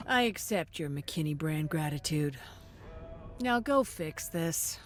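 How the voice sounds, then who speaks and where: A woman's voice: deep voice, animated, slow pace. A woman acting a blunt, protective character in a video game cutscene, giving tough-love counsel in a frank, emphatic way. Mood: firm, advising. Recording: music under the voice.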